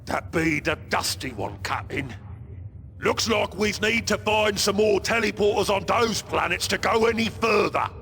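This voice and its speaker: High, sharp ork mechanic sidekick. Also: male voice, measured pace, soft background music. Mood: matter-of-fact. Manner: ungrammatical, reporting to his boss. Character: matter-of-fact male ork mechanic sidekick. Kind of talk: video game cutscene acting.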